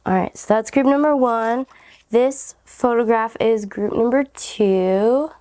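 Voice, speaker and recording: A young woman with a raspy, hoarse voice from a cold leads a casual tarot reading. Her tone is mellow and warm. She rambles in a giggly way, filling her pauses with "like" and "um". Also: fairly high pitch. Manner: rambling, giggly, many fillers like "like" and "um". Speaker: tarot reader, channel host.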